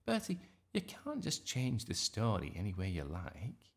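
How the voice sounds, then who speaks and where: A man's voice: lowish voice, huge pitch swings, a little slow, voice pushed up, exaggerated voice. A man voicing a weary, long-suffering mother in a children's audiobook, reasoning with exasperation. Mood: exasperated.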